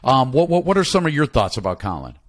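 A man's voice: medium pitch, sing-song, fast. Middle-aged man, casual podcast co-host. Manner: conversational, repeats words when starting sentences. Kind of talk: casual chat.

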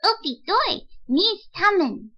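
A high-pitched, wilful toddler boy, put on by a woman reader, stretching each baby-talk word very slowly. It is an artful, swooping storybook voice in a slightly dull recording. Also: big pitch swings, sharp tone, full character voice. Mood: eager, wilful. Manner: baby talk, drawn-out words.

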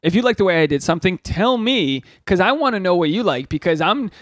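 A man's voice: sits high, quick pace, no echo, clean studio sound. A man in his thirties hosting a podcast, telling crude, rambling stories in a casual comedic chat. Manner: crude, rambling, animated storytelling. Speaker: podcast host.

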